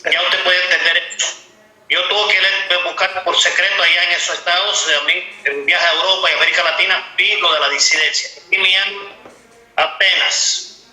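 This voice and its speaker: A man from the United States hosting an interview in Spanish, speaking in a halting way and repeating words. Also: high-pitched, fairly even intonation, pushed and bright, echoey room, faint music behind, rough recording. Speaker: interviewer, host. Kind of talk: interview questions.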